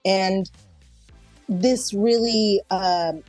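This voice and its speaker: A woman's voice: medium pitch, extremely slow, a little mellow, dry recording, soft background music. A middle-aged woman actor chatting casually in an interview, speaking in a reflective, conversational way.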